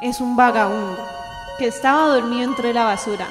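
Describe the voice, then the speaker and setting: A woman's voice: on the high side, moderate pace. A young woman reading scripted narration for a radio drama. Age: young adult. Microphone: handheld microphone. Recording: echoey hall-like room, music behind.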